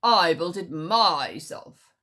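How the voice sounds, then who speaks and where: A woman voicing a proud, stubborn stag in a storybook tale, with loud, stretched-out words. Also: fairly low pitch, lively intonation, slow pace, full character voice. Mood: indignant.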